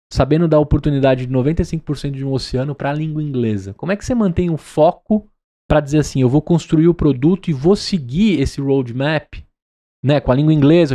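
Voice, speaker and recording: A man's voice: on the high side, mellow. A man from Brazil with a São Paulo accent, a podcast host asking long chatty questions, often ending with a tag question. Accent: São Paulo Portuguese. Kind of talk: conversational interview.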